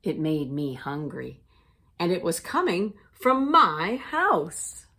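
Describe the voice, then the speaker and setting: A woman teacher reading a children's storybook aloud with deliberate, expressive phrasing for young listeners. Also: lowish voice.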